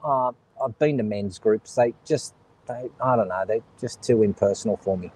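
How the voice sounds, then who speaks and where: A man's voice: on the low side, normal speed, darkish tone, low-quality recording. Joking Australian man hosting a chat. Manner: joking, laughs often, reads out viewer comments. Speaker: host. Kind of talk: casual chat.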